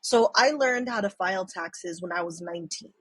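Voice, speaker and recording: Husky-voiced woman telling rambling stories. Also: on the low side, normal speed, a bit bright, no room sound. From San Francisco, USA. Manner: rambling, many fillers and restarts, swears casually. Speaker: podcast guest and community organizer. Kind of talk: casual storytelling chat.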